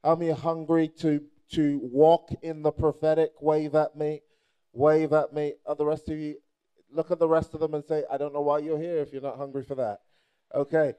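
A man's voice: a little high, steady tone, moderate pace, a little mellow, clear, resonant tone. A young adult man from Nigeria preaching a playful, charismatic sermon and rousing the crowd with call-and-response.

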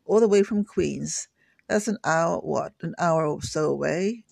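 A man's voice: a little high, unhurried, gravelly, a little mellow, breathy edge, dry recording, exaggerated voice. A man voicing a polite, friendly young woman scientist who speaks sympathetically. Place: home kitchen table.

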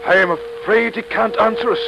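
An actor playing an earnest, authoritative church rector in a 1930s radio drama, firm, measured and formal. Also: male voice, high-pitched, fairly even intonation, vocal fry, loud music behind the voice. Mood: grim shock. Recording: muffled, faintly noisy 1930s radio recording.

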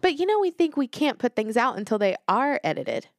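A woman co-hosting a podcast in casual chat, animated and reflective, thinking aloud with fillers. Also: on the high side, brisk, no room sound, studio quality. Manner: animated, reflective, talks things through with fillers. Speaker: podcast co-host.

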